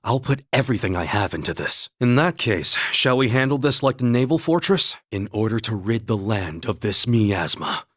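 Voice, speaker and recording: A man playing an earnest young holy knight in a video game, with a husky voice and a measured, formal, noble delivery. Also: fairly low pitch, expressive, a bit bright, dry recording, clean studio sound. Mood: calm, earnest. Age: young adult.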